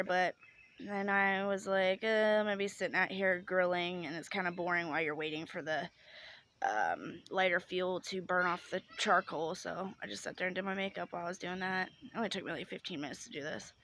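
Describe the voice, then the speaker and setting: A woman's voice: medium pitch, fairly even intonation, quick pace. A young woman chatting casually in a vlog while sick and congested, talking outdoors by a grill. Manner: chatty, rambling with many ums. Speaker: vlogger.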